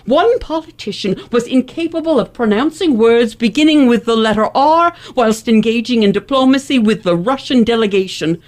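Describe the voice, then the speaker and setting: A woman's voice: mid-range voice, darkish tone, natural voice. A woman playing a haughty, wealthy Victorian elocutionist in a radio play, speaking with grandiose, precise enunciation. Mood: boastful, pompous. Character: haughty, wealthy, indignant lady elocutionist of 1870s New England. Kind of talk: old-fashioned radio play acting.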